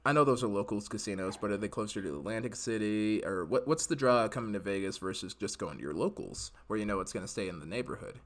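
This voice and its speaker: A man's voice: lowish voice, lively intonation, fairly bright tone. A man hosting a podcast, chatty and joking as he interviews a guest. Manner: chatty, joking, quick laughs. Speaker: podcast host.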